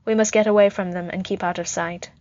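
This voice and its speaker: A woman voicing a stoic, blunt male soldier in a story, speaking in terse, flat sentences. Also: mid-range voice, fast talker, dull audio, natural voice.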